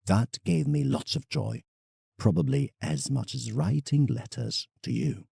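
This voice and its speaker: A man's voice: mid-range voice, expressive, measured pace, gravelly, dark tone, husky, no echo, studio quality, exaggerated voice. A man playing a proud, reflective English landscape painter in an audio drama, telling his travels formally as a letter to a friend. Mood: fond, teasing.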